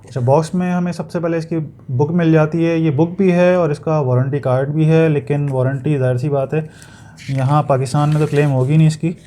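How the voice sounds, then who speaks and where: Man, Pakistani accent, fairly high voice, dark mellow timbre, casual reviewer, explanatory, light background noise. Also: fairly even intonation, brisk. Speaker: product reviewer, motovlogger. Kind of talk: casual unboxing and review narration.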